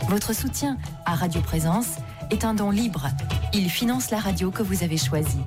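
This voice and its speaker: Woman announcer, station promo, mid pitch, clear and persuasive, loud music bed behind. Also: expressive, moderate pace. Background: loud background music.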